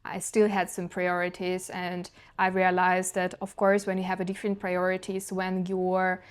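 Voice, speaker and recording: Young ukrainian-accented woman vlogging, soft and dreamy, keeps restarting words, clean smooth voice. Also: on the low side, completely flat delivery, normal speed. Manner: searching for words, frequent restarts. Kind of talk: casual reflective monologue.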